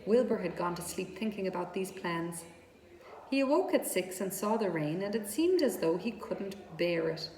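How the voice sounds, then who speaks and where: A woman reading a children's story aloud as narrator, with deliberate, expressive phrasing. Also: medium pitch. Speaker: storybook reader. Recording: echoey reverberant room with background noise.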